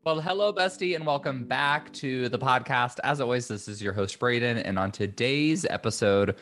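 A man's voice: mid-range voice, moderate pace, fairly bright tone, faint music behind. A man hosting his own podcast in a chatty, upbeat manner with many ums, his voice a little scratchy after losing it. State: recovering from lost voice, slightly scratchy. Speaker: podcast host. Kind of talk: casual solo podcast talk.

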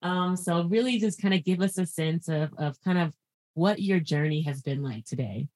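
A woman's voice: lowish voice, normal speed, darkish tone. A woman hosting a podcast interview, effusive and chatty, laughing often and restarting her sentences. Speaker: podcast host. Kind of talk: casual interview chat.

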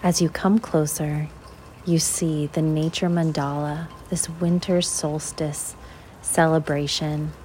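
A woman leading a guided visualization in an unhurried, measured way, leaving long pauses between short phrases. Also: deep voice, darkish tone, slight background noise. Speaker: guided meditation host.

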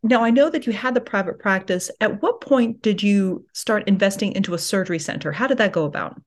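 A woman's voice: medium pitch, brisk. A woman who is a general surgeon, hosting a podcast interview in an enthusiastic, chatty way.

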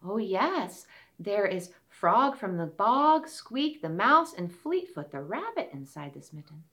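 A woman voicing a small, friendly male frog in a children's story, bright and welcoming. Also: mid-range voice, big pitch swings, slow, full character voice.

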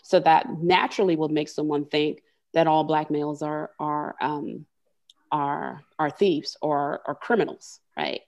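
A woman's voice: deep voice, steady tone, a little slow, darkish tone. A woman being interviewed as a corporate leader and coach, speaking earnestly and often repeating words as she gathers her thoughts. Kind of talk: conversational interview.

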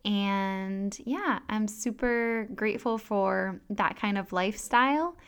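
A woman in her thirties, French but sounding American, hosting a calm wellness podcast in a soft, gentle, unhurried way. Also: mid-range voice, clear, resonant tone. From France. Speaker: podcast host and health coach. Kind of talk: calm solo podcast talk with guided breathing.

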